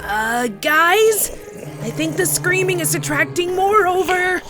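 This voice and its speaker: A woman's voice: mid-range voice, animated, normal speed, close to an everyday voice. A woman voicing a tough, practical female cartoon farm pony with a Southern US accent and blunt, folksy manner. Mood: urgent. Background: music.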